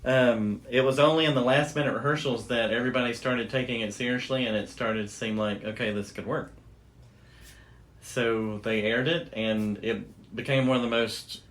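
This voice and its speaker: A man's voice: medium pitch, steady tone, normal speed, fairly bright tone. A man hosting a podcast, telling a story in a casual, informal and loosely phrased way. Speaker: podcast host.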